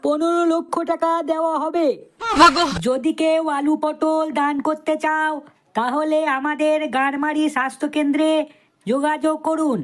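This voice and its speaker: A woman's voice: high-pitched, flat delivery, moderate pace, lightly acted. A woman voicing a foul-mouthed, irritable village man who declaims announcements in a comedy cartoon. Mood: proclaiming. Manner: declaiming, brash.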